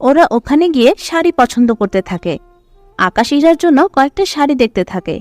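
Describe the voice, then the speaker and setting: A woman's voice: sits high, animated, fairly quick, darkish tone, dry recording. A woman narrator reading a scripted dramatic story.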